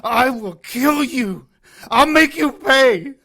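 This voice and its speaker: A woman playing a vengeful witch in an audio drama, screaming drawn-out threats. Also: high-pitched, expressive, sharp tone, exaggerated voice. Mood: furious. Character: vengeful, shrieking female witch out for blood.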